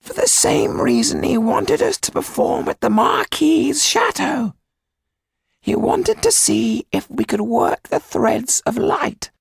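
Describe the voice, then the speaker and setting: A man voicing a blunt, sharp-tongued, knowing conspirator in a storybook, with a high, strained, raspy, creaky voice. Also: sing-song, slow, no echo, full character voice. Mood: assured.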